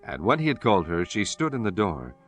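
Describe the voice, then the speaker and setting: A man's voice: fairly low pitch, big pitch swings, fairly quick, faint music behind. A man reading solemn, scripted scripture narration for a dramatized audiobook.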